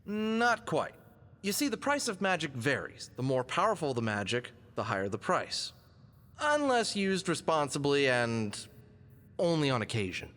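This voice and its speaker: A man's voice: on the high side, sing-song, moderate pace, fairly bright tone. A man playing a confident, wisecracking fox adventurer in an audio drama, quippy and self-assured in his delivery. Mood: explaining, casual. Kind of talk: scripted audio drama dialogue. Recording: long hall-like reverb.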